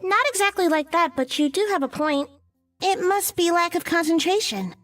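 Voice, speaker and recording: A woman's voice: very high-pitched, animated, normal speed, a bit bright, soft background music. A woman voicing a bossy, prim, teasing young witch girl in a visual novel game. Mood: matter-of-fact.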